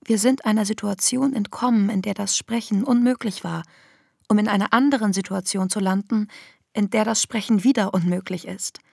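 An actress playing an embattled, defiant feminist internet activist, delivering a sardonic, polemical manifesto in a radio drama with a husky voice. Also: female voice, medium pitch, normal speed, darkish tone, no room sound, studio quality. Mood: defiant, weary.

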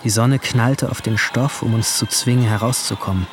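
A man playing a brooding, alienated seventeen-year-old who narrates his guilt in a hushed, introspective radio drama style. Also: mid-range voice, fairly even intonation, normal speed, noisy background, natural voice. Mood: sullen, uneasy. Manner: hushed, introspective, at times whispering.

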